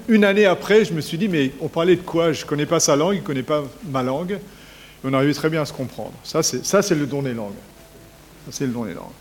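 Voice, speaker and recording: A man, a pastor, giving an earnest, measured sermon to a congregation. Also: medium pitch, slight background noise.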